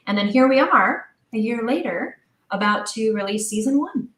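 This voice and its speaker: A middle-aged woman chatting casually as an interview guest, telling stories in an animated way and acting out bits of quoted dialogue. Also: fairly high pitch, moderate pace. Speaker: interview guest, audio drama creator. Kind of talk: casual conversational storytelling. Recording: roomy, echoing sound.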